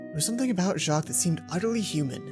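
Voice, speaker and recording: Woman reading as lovesick schoolgirl, visual novel heroine, defensive, self-doubting, introspective, expressive range, music underneath. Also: fairly low pitch, rapid-fire, close to an everyday voice. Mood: wistful, troubled. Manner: introspective, dramatic storytelling. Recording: music behind the voice.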